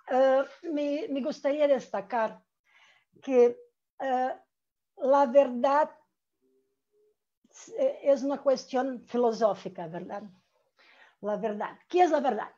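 Middle-aged woman, Brazilian accent, Portuguese native, soft dark tone, rather high pitch, halting formal academic talk, muffled video-call sound. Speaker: academic panelist, researcher. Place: room with bookshelves indoors. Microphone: earphone mic on video call. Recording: dull video-call audio.

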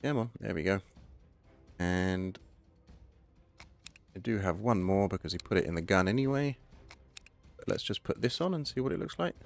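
Hoarse guy, lowish voice, rambling gameplay commentary, bit of music behind. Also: animated, moderate pace, long pauses. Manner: chatty, thinking aloud, self-correcting. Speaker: gaming channel host.

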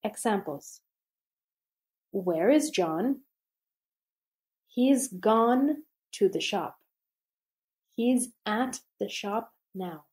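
A woman reading a scripted grammar lesson aloud, slowly and deliberately with pauses between phrases. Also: mid-range voice, lively intonation, a little mellow. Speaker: English teacher.

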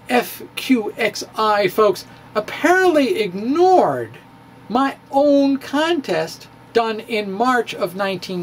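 Middle-aged guy reading his physics blog out loud, slow and theatrical, wry little asides, small room with a faint hiss. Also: high voice. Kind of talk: dramatic reading of a blog post. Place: small room in front of bookshelves. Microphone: studio mic on stand in front of him.

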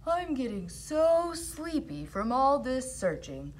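A huge, mean storybook giant, played by a woman, hungry and menacing. The words come out very slowly and loudly, with big swings of pitch. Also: very animated, slight background noise, exaggerated voice. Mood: sleepy, menacing. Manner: slow, booming, drawn-out menacing delivery. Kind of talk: children's fairy tale storytelling.

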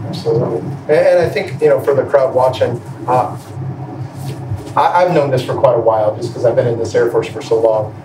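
A man's voice: medium pitch, fairly even intonation, fairly quick. A middle-aged man, a military leader, talking conversationally and encouragingly on a panel. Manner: appreciative, encouraging. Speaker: senior Air Force leader on a town hall panel. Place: large indoor room, seated on a stage. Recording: roomy echo, distant mic, noisy room.

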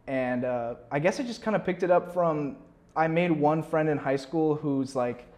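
Slightly high-pitched young man chatting casually. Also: moderate pace, a little mellow. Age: young adult. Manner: rambling, slangy, full of "like". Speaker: musician guest. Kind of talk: casual interview chat. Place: small furnished indoor room. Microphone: clip-on lapel mic.

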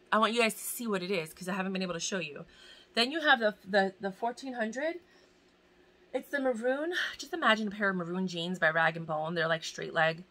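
A bright, somewhat low-pitched woman in her forties talks to a desk camera in a small, cluttered home room. She rambles and restarts, gushing over each item, and she is in pain. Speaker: fashion vlogger hosting a giveaway. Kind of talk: casual chat to camera. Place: small home room crowded with bags and boxes, indoors. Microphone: camera at desk distance.

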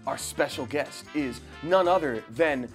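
A man's voice: medium pitch, measured pace, faint music behind. A young man with a theatrical, jokey way of talking, playfully hosting a quiz show. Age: young adult. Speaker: game show host. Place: indoor studio set.

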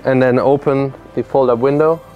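A man's voice: mid-range voice, steady tone, normal speed, phone-quality sound, soft background music. A man in his thirties giving a methodical walkthrough of a yacht, recorded on board with a lapel mic. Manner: explanatory, methodical, lists features. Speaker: boat presenter. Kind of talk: product walkthrough. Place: aboard a motor yacht on a lake, on deck and in cabins.

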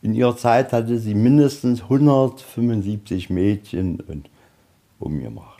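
A man with a husky voice giving an informal, explanatory guided tour as a museum guide. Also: on the low side, unhurried, mellow. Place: indoors in a museum.

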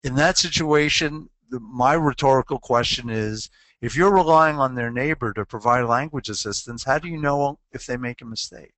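A man's voice: mid-range voice, normal speed, a bit bright, no room sound. A man presenting a webinar on legal compliance, answering a question earnestly and posing rhetorical questions.

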